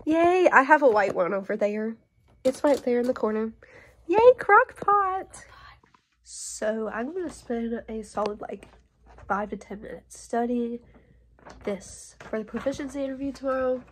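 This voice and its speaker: A young woman in college chatting casually to her phone camera in a relaxed vlog, upbeat and full of fillers. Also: on the high side, measured pace. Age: young adult. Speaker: college student vlogger. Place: dorm room and outdoors. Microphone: phone held at arm's length.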